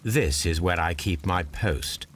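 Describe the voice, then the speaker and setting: An actor playing an aloof, analytical Victorian gentleman detective in a video game, with a British accent and a precise, formal, lecturing manner. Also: low voice, slow pace, background noise. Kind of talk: scripted video game acting.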